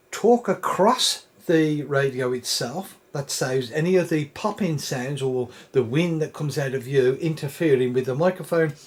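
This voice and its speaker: A man giving a live how-to demonstration, explaining in a plain, patient way. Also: medium pitch. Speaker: product reviewer.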